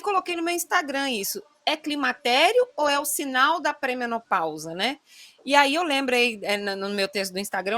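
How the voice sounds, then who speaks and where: A woman's voice: fairly high pitch, bright, forceful tone. A woman in her forties, co-hosting a radio show, chatting expressively and casually. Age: 40s.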